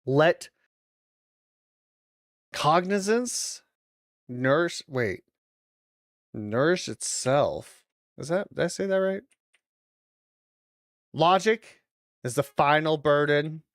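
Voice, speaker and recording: A streamer talks at an unhurried pace in a very dry recording. The delivery is casual watch-along commentary, moving between deliberate reading aloud and loose, muttered asides. The voice sits at a middling pitch. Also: animated, no room sound. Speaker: streamer reacting to videos.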